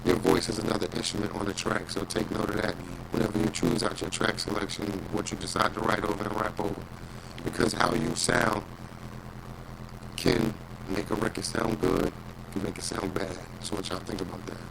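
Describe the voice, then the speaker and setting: Raspy new york guy, deep and gravelly, voice jumps all over, noisy recording. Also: lively intonation, a little fast. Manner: laid-back, confident, lots of "you know what I'm saying". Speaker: hip hop artist guest. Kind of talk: radio interview chat.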